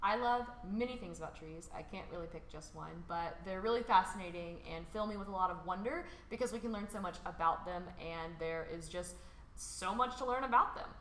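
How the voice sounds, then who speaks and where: An American woman hosting a read-along, reading a picture book aloud for children in an expressive, informal way. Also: fairly low pitch, fairly quick. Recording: roomy echo, faint background noise.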